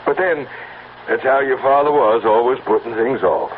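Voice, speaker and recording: A man's voice: medium pitch, normal speed, close to an everyday voice. An actor playing a hesitant, folksy male visitor paying condolences in a radio drama. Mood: hesitant, sympathetic. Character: male acquaintance of the deceased, folksy, tactful visitor. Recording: tinny narrow-band old radio, loud noise.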